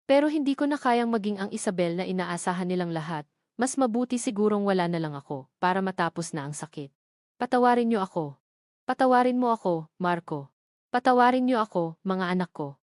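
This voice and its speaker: A woman's voice: medium pitch, expressive, fairly quick, darkish tone, no echo, studio quality, natural voice. A woman voicing a lonely, despairing young mother confiding in her journal, in a sorrowful story narration. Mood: despairing, pleading.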